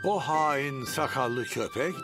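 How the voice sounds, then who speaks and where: A man's voice: medium pitch, takes it slowly, over music, close to an everyday voice. An actor playing a playful, mock-stern old grandfather pig in a children's cartoon, speaking theatrically. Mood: grumbly waiting.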